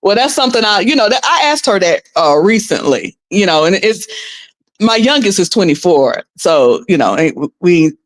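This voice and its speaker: A woman's voice: on the low side, big pitch swings, moderate pace. A woman in her fifties chatting casually in a group, rambling and restarting her sentences as she tells stories. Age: 50s. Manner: rambling, restarts often, quotes herself.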